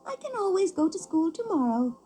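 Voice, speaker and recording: Woman voicing an eager high-pitched little puppet boy. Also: a little fast. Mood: curious, torn. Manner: excited, wide-eyed, childlike. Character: eager, naive young wooden puppet boy.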